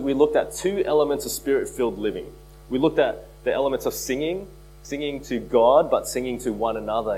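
A man preaching a sermon as pastor, hoarse from a cold, earnest and repeating key phrases for emphasis. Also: medium pitch, darkish tone, slight background noise, rough recording. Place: church.

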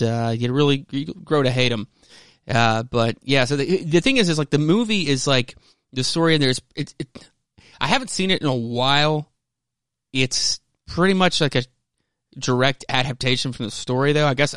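Guy podcast host, mid pitch, rambles and restarts a lot, sweary, dry close sound. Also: fairly bright tone, smooth, dry recording. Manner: rambling, hesitant, self-interrupting, profane. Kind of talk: casual rambling commentary.

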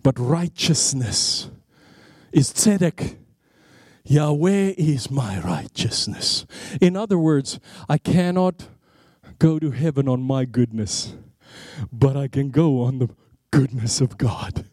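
A man evangelist preaching a sermon to a congregation, emphatic and repetitive, asking rhetorical questions and dropping his voice low on key phrases. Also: expressive, slow, a little mellow, no room sound, studio quality. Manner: emphatic, repeats words, swings between rising and low dropped phrases; explains Hebrew names of God with rhetorical questions.

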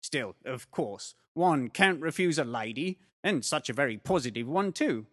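A man's voice: mid-range voice, expressive, moderate pace, a bit bright. A man reading an audiobook, voicing a self-assured police inspector with a confident, patronizing manner. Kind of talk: audiobook character voice.

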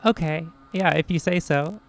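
A man's voice: a little high, normal speed, vocal fry, faint music behind. A man playing a tabletop game, improvising in character in a brief, matter-of-fact manner.

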